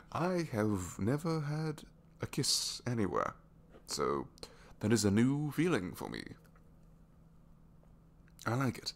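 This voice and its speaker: A man's voice: fairly low pitch, a bit bright, husky, full character voice. A man acting out a bashful, flirtatious supervillain with a good heart in an intimate ASMR roleplay, speaking hesitantly with sheepish stammers. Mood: flustered, delighted.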